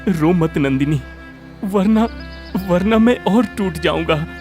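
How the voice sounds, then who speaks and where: A man's voice: high voice, slow pace, a little mellow, soft background music. A man playing a kind-hearted, poor labourer husband in a cartoon story, earnest and exclamatory. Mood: worried, pained. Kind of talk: Hindi cartoon story voice acting.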